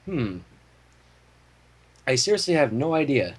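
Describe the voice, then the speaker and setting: A man with a husky voice hosting a livestream, narrating a fan story aloud with casual asides. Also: medium pitch, very animated, unhurried, a little hiss or hum. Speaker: fan fiction reader, livestream host.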